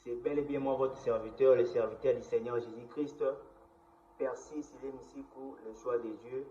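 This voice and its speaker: A man's voice: medium pitch, fairly even intonation, mellow, distant mic, a little hiss or hum. Congolese male preacher, echoey room. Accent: Congolese (Kinshasa). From Kinshasa, DR Congo. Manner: deliberate, halting, repetitive phrasing. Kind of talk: recorded Bible teaching, reading scripture aloud. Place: indoor room at a computer. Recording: roomy, echoing, dull lo-fi sound.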